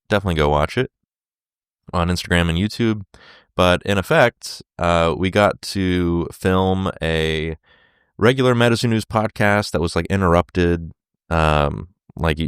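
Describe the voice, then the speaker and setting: A man hosting his solo podcast while sick, with a sore throat and a fading voice, talking casually with many fillers and throat clears. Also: sits low, dry recording, clean studio sound. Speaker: podcast host.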